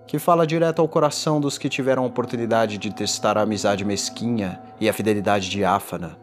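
A man playing a tormented condemned man in a gothic horror audio drama, confessing in a formal, theatrical and deliberate delivery. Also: fairly low pitch, lightly acted. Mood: wistful, grave. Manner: theatrical, deliberate, ornate diction. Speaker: narrator. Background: music.

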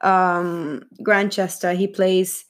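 A woman's voice: on the low side, level tone, takes it slowly. Chatty young woman rambling about films. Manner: rambling, chatty, with many fillers and self-corrections; giggly, self-deprecating. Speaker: film vlogger. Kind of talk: casual chat about a film's cast.

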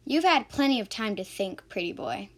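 A woman's voice: fairly high pitch, very animated, normal speed. A woman playing a sassy, sceptical young woman in an amateur radio drama, sarcastic and indignant.

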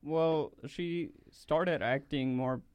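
A man's voice: fairly high pitch, extremely slow, clean tone, dry recording. A young man who is a patient, answering a question in an interview in a hesitant, thoughtful way. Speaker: guest, patient.

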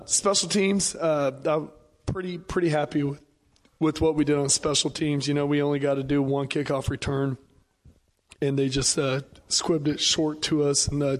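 A football coach who has lost his voice, speaking haltingly with many fillers in a pregame radio interview. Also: on the high side. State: hoarse, lost voice.

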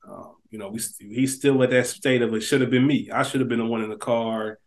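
A man's voice: mid-range voice, fairly even intonation, brisk. Thoughtful podcast co-host man. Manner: thoughtful, acts out quoted lines in a raised voice. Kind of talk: casual chat.

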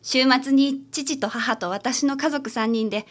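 A woman's voice: high voice, a little slow. A woman playing a troubled, sincere grown-up daughter who is a client in a radio drama. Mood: pleading, troubled. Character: troubled, sincere adult daughter seeking a detective's help.

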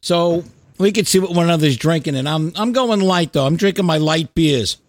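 A man's voice: on the high side, normal speed, no room sound, studio quality. A middle-aged man hosting a podcast, chatting casually and bluntly about music with plenty of swearing. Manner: blunt, salty, profane. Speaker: podcast host. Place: remote Skype call, audio show.

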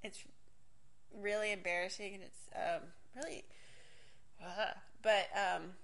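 A woman's voice: medium pitch, steady tone, slow, creaky, fairly bright tone. A woman in her forties from Miami telling a candid personal story, rambling and self-interrupting, swearing casually and laughing often. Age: 40s.

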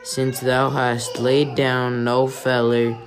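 A woman reading scripture aloud with her family, slow and deliberate, with gentle prompts in between. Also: very deep voice, flat delivery, background noise.

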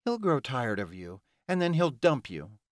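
A man's voice: fairly high pitch, sing-song, a little slow, no room sound, clean studio sound, voice pushed up. A man voicing a scornful, taunting female vampire in an audiobook, with a bitter, insulting manner. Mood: snarling.

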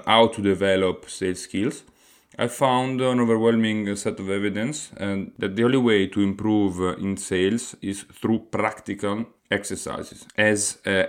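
A man from Italy with an Italian accent, hosting a solo podcast and explaining his plans earnestly, with many hesitant fillers. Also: fairly low pitch. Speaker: podcast host.

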